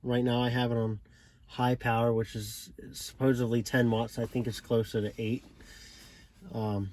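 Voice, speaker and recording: An American man giving a casual, unscripted walkthrough in a low-key, halting way, as if thinking aloud. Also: little pitch movement, darkish tone, slight background noise. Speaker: hobbyist showing a CB radio install. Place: inside a parked car.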